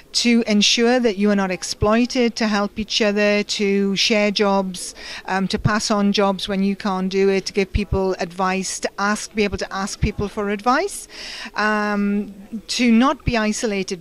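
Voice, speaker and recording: A middle-aged British woman translator, speaking thoughtfully in an interview and pausing often with fillers. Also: medium pitch, fairly even intonation, clear, resonant tone. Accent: British English. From United Kingdom. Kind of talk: conference interview and personal story.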